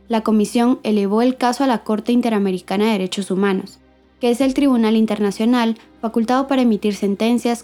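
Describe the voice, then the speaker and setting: A woman giving measured, scripted documentary narration as the host. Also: on the high side, dark tone.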